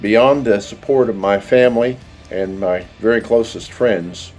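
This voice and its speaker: A man giving a measured testimonial as an alumnus. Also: lowish voice, a little mellow, faint music behind.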